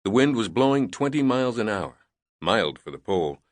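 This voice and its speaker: A man narrating a thriller novel in a measured, deliberate reading on a close studio mic. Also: on the low side, sing-song, no room sound. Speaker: audiobook narrator.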